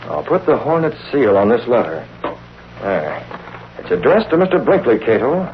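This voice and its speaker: An actor with an American accent playing a shrewd, composed newspaper boss in a 1930s radio drama. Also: male voice, mid-range voice, very animated, normal speed. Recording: muffled, noisy vintage radio recording.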